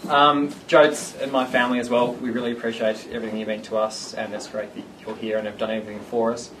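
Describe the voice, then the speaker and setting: A man's voice: medium pitch, flat delivery, fast, background noise. A man giving a rambling, self-mocking speech at his engagement party. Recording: distant mic with loud party ambience.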